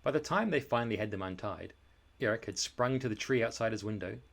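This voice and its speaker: Expressive man reading a children's storybook. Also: fairly low pitch, fast talker, vocal fry. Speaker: storybook reader. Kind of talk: dramatic scripted narration for children.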